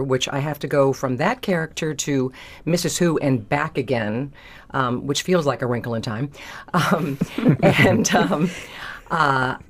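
Woman actor chatting as a guest, thoughtful, keen on her work, explains stuff. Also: very deep voice. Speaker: stage actor, interview guest. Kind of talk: casual interview conversation.

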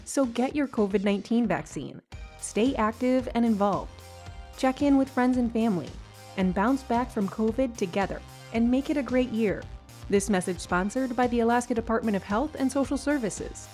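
A woman reading a scripted public service announcement in an upbeat, even manner. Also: on the high side, moderate pace. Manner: upbeat, evenly read. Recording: announcement over soft music.

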